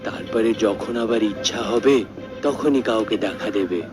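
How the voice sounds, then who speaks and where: A man voicing a kindly, indulgent hermit in a cartoon, giving advice in an unhurried manner. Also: lowish voice, music in the background, lightly acted. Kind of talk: cartoon dialogue acting.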